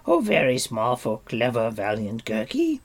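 A man's voice: mid-range voice, wildly swooping pitch, measured pace, full character voice. A man voicing a hungry, fawning hairy forest creature who whines and wheedles. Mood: pleading. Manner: wheedling, talks of himself by name.

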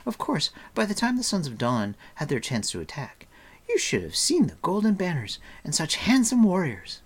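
A man's voice: mid-range voice, sing-song, normal speed, voice pushed up. A man voicing a chattering, scolding, breezily confident young girl in a storybook, talking in a breathless rattle.

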